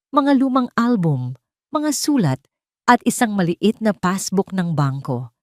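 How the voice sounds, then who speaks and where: A woman's voice: on the low side, a little mellow, dry recording, studio quality. A woman with a Filipino accent narrates a scripted dramatic story as an audio drama storyteller.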